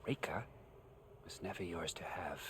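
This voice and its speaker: An actor playing a coldly genteel, menacing villain in a video game, speaking in a formal, condescending, theatrical way. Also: male voice, low-pitched, unhurried, noisy background. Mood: scornful, threatening. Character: coldly genteel, menacing male villain commanding armed men. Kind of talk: dramatic video game acting.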